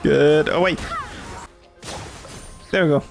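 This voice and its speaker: A man's voice: fairly high pitch, steady tone, takes it slowly, gravelly. A man giving casual gameplay commentary, muttering short, repeated reactions as he plays. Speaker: gamer playing and commenting. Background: game music and effects.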